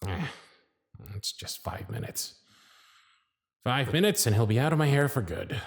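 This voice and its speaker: A man performing an ASMR roleplay as a jaded, sarcastic supervisor, weary and brusque, with moments where he softens into a quiet murmur. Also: medium pitch, big pitch swings, measured pace, gravelly, slightly rough, dry recording, clean studio sound.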